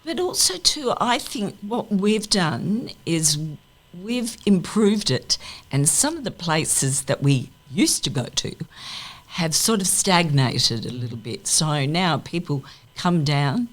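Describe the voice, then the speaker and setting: A woman's voice: on the low side, big pitch swings, slow. A woman speaking enthusiastically as a deputy mayor and guest in a casual radio interview.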